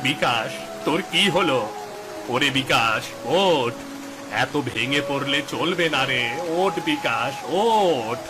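A man's voice: mid-range voice, expressive, slow pace, over music. A man voicing a concerned village farmer, a loyal friend in a cartoon folk tale, exclaiming and questioning. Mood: alarmed, pleading.

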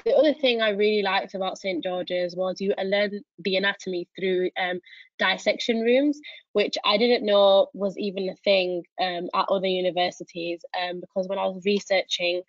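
A woman's voice: medium pitch, steady tone, no room sound. A young woman with a northern English accent from Leeds, a student talking enthusiastically to applicants on a video call. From Leeds, England. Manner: friendly, enthusiastic, halting. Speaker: third-year radiography student. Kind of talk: webinar talk to applicants. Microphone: laptop on a video call, camera off. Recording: dry, muffled call audio.

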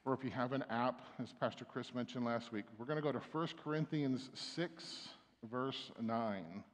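A man's voice: medium pitch, normal speed. A middle-aged man preaching a sermon from a church pulpit, speaking conversationally with frequent restarts and fillers. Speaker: pastor. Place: church sanctuary. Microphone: stand mic at pulpit, far camera. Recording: long church reverb.

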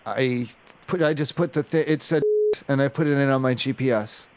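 A man's voice: mid-range voice, normal speed. A man making an improvised prank phone call, rambling in an evasive, hesitant way. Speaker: prank caller. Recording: dull lo-fi call audio.

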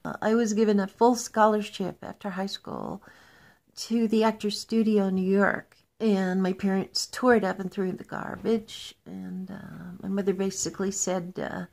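A woman's voice: mid-range voice, flat delivery, normal speed, gravelly, darkish tone. A woman in her sixties from Texas, an actress and screenwriter, recounting her life matter-of-factly to a close webcam at home. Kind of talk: candid documentary testimony.

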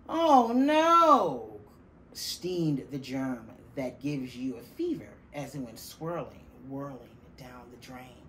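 A middle-aged woman reading a children's picture book aloud, deliberately and with dramatic stress on key words. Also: a bass voice, darkish tone. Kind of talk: expressive children's storybook reading. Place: small classroom, indoors. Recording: faint room noise behind the voice.

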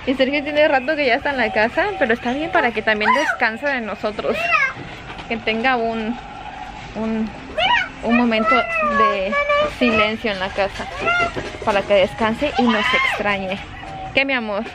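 Young woman, chatty vlogger, slightly high voice, handheld camera, noisy store. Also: big pitch swings, unhurried. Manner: chatty, talks to viewers and her kids. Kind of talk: casual vlog chat while shopping. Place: large retail store, indoors.

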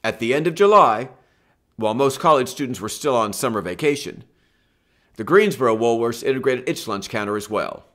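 A man's voice: medium pitch, expressive, a little fast, a bit bright. Older man narrating a history lesson. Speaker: teacher presenting a history lesson. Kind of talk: scripted narration. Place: home room with bookshelf and lamp.

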